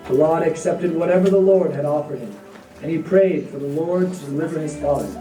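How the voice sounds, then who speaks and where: A storyteller with a mid-range voice narrates a devotional tale in measured phrases. The sound is roomy, with music playing along. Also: mid-range voice. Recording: echoey, distant, music behind.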